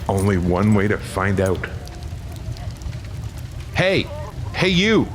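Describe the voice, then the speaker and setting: A man's voice: fairly low pitch, sing-song, slow, a little hiss or hum. A man playing an anxious, earnest policeman in an audio drama, urgent and coaxing as he negotiates. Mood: alarmed, urgent.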